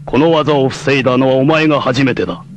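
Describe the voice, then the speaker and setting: A man's voice: mid-range voice, a little fast, slight background noise, natural voice. A man voicing a noble, self-sacrificing blind warrior leader in a 1980s anime, with a solemn, formal, declamatory delivery. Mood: composed, grave. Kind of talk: 1980s anime martial-arts voice acting.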